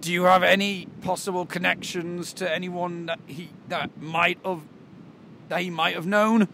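A man in his twenties acting as a flustered police detective, asking halting, repetitive questions in an improvised comedy film. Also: high voice, fairly even intonation, gravelly, a bit bright. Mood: awkward questioning. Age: 20s. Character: flustered male police detective investigating murders. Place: outdoors by a rocky seafront. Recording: noisy outdoor camera audio.

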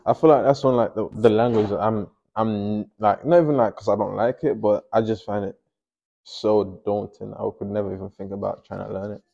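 A man's voice: lowish voice, fairly even intonation, a little fast, creaky, warm tone, lo-fi sound. A young man from Nigeria chatting casually while he paints in a small home studio, often mumbling to himself as he works. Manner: laid-back, mumbles to himself while working. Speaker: painter and host.

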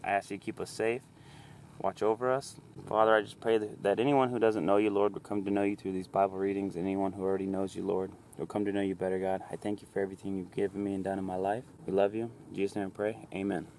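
A man in his thirties speaks as a fishing vlogger from a small boat on a lake. His voice sits fairly low. The talk is casual, recorded outdoors on an action camera with faint noise behind it. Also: flat delivery, quick pace, darkish tone.

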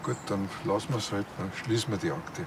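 A man's voice: fairly low pitch, brisk, background noise, natural voice. An actor playing a dim, easily offended small-town policeman with a Bavarian accent in a comic TV crime drama. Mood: sulky. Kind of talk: dry comic TV crime dialogue.

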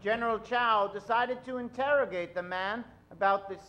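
A man's voice: sits high, normal speed, bright, forceful tone, lo-fi sound. A middle-aged man narrating an old-time radio show on stage, theatrical and suspenseful. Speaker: host and narrator. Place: live stage, at a podium. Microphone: standing microphone.

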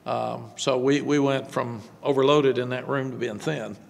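An older man, a head football coach, answering postgame press questions at a desk microphone, halting and repeating words before quick emphatic runs. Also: medium pitch, steady tone, creaky, in a large hall, a little hiss or hum. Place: press room before a sponsor backdrop. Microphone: desk microphone on stand.

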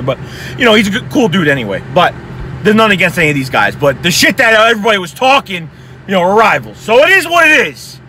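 A young man with a very high, sharp, bright voice, gone hoarse from yelling. He rants fast and profanely, heated and excited, talking close into a phone in his car at night with faint traffic noise outside. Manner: excited, profane, rapid-fire ranting. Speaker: sports fan vlogger. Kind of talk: heated post-game fan rant.

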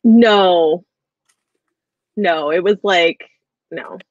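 Lower-pitched woman chatting, dull call audio. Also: very slow, dry recording. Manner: hesitant, rambling with frequent "like". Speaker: book discussion host. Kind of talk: casual livestream book chat. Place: small room at home, video call. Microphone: earbuds on a video call.

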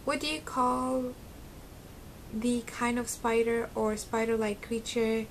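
A woman's voice: fairly high pitch, level tone, slow pace, a little hiss or hum, low-quality recording. Bubbly young woman, slight Arabic accent. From Syria. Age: young adult. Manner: chatty and giggly, with frequent ums. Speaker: beauty vlogger. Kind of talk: casual chat to camera. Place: small bedroom at home, indoors. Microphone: close webcam, seated facing camera.

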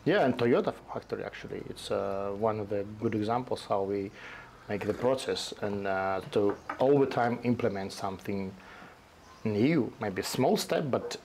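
A man in his forties with a Russian accent, speaking English as a second language in a halting, casual conversation on an outdoor balcony. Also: on the low side, gravelly, darkish tone, a little hiss or hum. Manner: halting, restarts often, non-native grammar. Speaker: tea company host. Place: covered balcony outdoors, forest behind.

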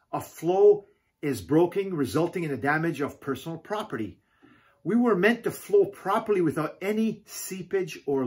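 A middle-aged man preaching a video sermon at home, animated, gesturing and calling out hallelujah often. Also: fairly high pitch, slightly rough. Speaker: pastor. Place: home living room, indoors.

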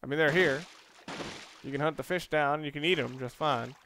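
A man's voice: fairly high pitch, moderate pace, sharp tone, a little hiss or hum. A man giving casual video game commentary, rambling along and thinking aloud as he plays. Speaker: let's play host.

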